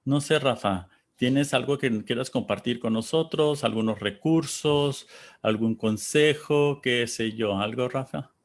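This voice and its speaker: A man from Texas hosting a panel, talking casually and reflectively, pausing to search for words and reading out audience comments. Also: mid-range voice. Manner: thoughtful, searching for words, pauses.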